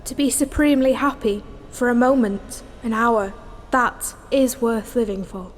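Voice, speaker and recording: An actress playing a passionate, dreamy young dancer with lyrical, impassioned delivery in a stage-like audio drama. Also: female voice, a little high, measured pace, slight background noise, close to an everyday voice. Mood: wistful.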